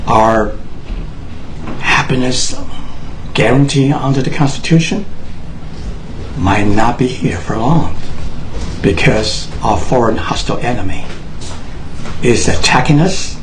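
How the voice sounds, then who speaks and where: Earnest Taiwanese man, halting speech. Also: medium pitch, a little hiss or hum, low-quality recording. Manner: earnest, halting, many fillers and restarts. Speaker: speaker addressing Americans. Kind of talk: unscripted personal address to camera.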